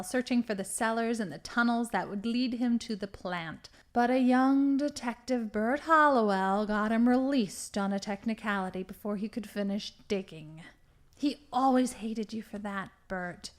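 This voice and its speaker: A woman's voice: mid-range voice, lightly acted. A woman playing a scheming, smugly menacing female villain in an audio drama, with theatrical, dramatic delivery. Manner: theatrical, gloating storytelling with hushed dramatic emphasis.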